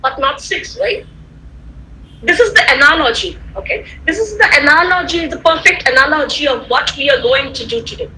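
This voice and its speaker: A woman whose first language is Tamil, giving an animated online lecture to students, repeating points and checking that they follow. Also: high-pitched, moderate pace, slight background noise, poor audio. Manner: animated, repetitive, rhetorical questions to listeners. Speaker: guest lecturer. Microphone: computer mic on a video call.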